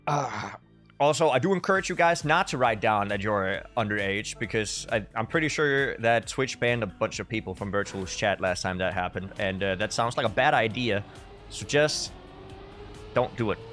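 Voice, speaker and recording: A man's voice: lowish voice, animated, moderate pace, fairly bright tone. A man, chatting casually and commentating as a live esports caster, with dry, tongue-in-cheek humour. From Denmark. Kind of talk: casual live stream chat with game commentary. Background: music.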